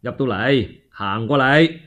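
A man's voice: mid-range voice, a little slow, a bit bright, highs cut off. A man with a Cantonese accent telling a story in a theatrical, colloquial radio storytelling style. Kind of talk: dramatic solo storytelling for radio.

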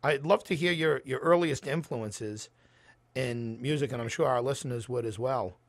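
A male radio host with a medium-pitched voice interviews a guest. He speaks conversationally and haltingly, feeling his way toward each question. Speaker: radio talk show host.

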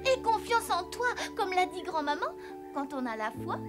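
A woman's voice: extremely high pitch, big pitch swings, normal speed. A woman voicing a spirited, devoted young mountain girl in a French cartoon dub, speaking earnestly and insistently. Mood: encouraging. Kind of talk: French animated film dub. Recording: music behind the voice.

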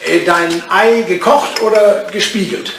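An actor playing an ageing English lord, fussy, wry and a little vain, in a retro radio comedy. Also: male voice, high voice, unhurried, creaky. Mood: fussy, genial. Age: older. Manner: fussy, hesitant, digressive. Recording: roomy, noisy vintage radio play recording.

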